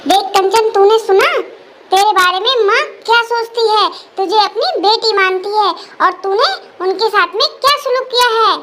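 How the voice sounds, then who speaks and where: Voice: very high voice, normal speed, bright, forceful tone, a little hiss or hum. A performer voicing an indignant, dutiful grown son who scolds in defence of his mother in a cartoon family melodrama. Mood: angry, accusing. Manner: scolding, accusatory, protective of mother.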